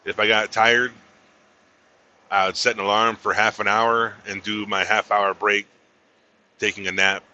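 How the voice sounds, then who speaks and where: A man's voice: fairly low pitch, level tone, a little slow, pauses often, bright, forceful tone, muffled recording. A man in his thirties, a truck driver chatting casually to a live stream from his cab as he drives, laid-back and drawling, saying "man" a lot. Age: 30s. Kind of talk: casual livestream chat while driving. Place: inside a truck cab on the road.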